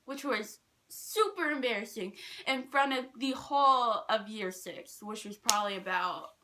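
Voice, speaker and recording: A young woman telling personal stories in a casual, animated, rambling and self-deprecating way. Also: fairly high pitch, measured pace, rough recording. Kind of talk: casual storytime chat.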